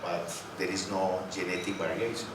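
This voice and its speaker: Halting man speaking in an echoey hall. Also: low-pitched, flat delivery. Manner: halting, searching for words, with slips and restarts. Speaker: invited lecturer on coffee breeding. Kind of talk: conference presentation and panel answers. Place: conference hall. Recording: echoey hall, from far off, with clear background noise.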